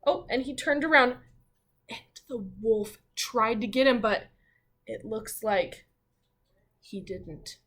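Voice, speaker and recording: A woman teacher reading a picture book aloud to children, expressively, with dramatic pauses and her own thoughts along the way. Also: fairly high pitch, fairly bright tone. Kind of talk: children's read-aloud with commentary.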